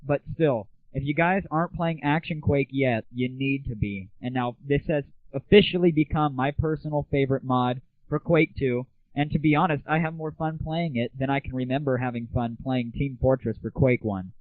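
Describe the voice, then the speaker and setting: A man's voice: medium pitch, normal speed, few pauses, no echo. A young man hosting an internet radio show, talking casually about gaming in an irreverent, sarcastic, slangy way. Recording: tinny, phone-like 1990s internet audio, roomless.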